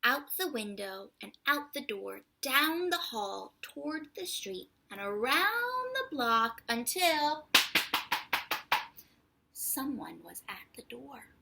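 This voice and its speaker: A woman reads a picture book aloud as a children's storyteller. Her voice is bright and fairly high, and she takes her time. She stretches out words and slips in hushed asides to the listener. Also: big pitch swings, takes it slowly, gravelly, slight background noise, low-quality recording.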